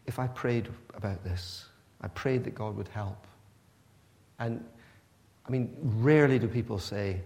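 A man's voice: mid-range voice, a little mellow. Guy born in pakistan giving a sermon, earnest and chatty, keeps restarting, echoey church hall. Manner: earnest, conversational, with frequent restarts. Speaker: preacher.

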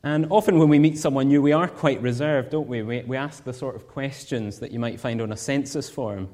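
A Scottish man preaches from the front of a church, earnest and measured. He builds his points through rhetorical questions. His voice sits at an ordinary middle pitch. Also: a little mellow. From Scotland. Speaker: guest preacher. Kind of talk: sermon.

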